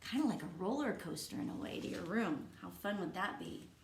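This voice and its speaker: A woman teacher reading a picture book aloud to young children, stopping to ask gentle, encouraging questions. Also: on the low side, lively intonation, a little fast, vocal fry, slight background noise. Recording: echoey room.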